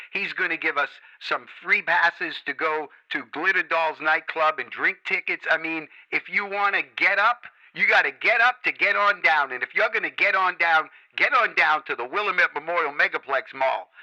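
Guy radio host, super bright sharp voice, a bit high, smooth salesy dj hype talk. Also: low-quality recording. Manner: smooth-talking, salesy DJ patter. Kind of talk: hyped radio show chat.

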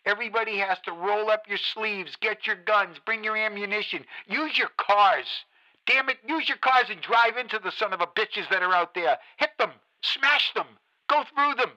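A frantic male radio DJ rants and pleads to his listeners in a high voice. The tone is sharp and very bright. It sounds like a radio drama. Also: moderate pace, muffled recording. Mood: furious. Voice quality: ranting, pleading. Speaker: radio host.

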